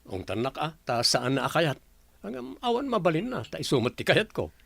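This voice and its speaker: A laid-back gambler in a radio drama. The voice is creaky, with fry at the edges and big swings in pitch. He talks casually, like one buddy to another. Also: very animated, a little fast, fairly bright tone, heavily acted. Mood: relaxed, chummy. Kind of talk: Filipino radio drama acting.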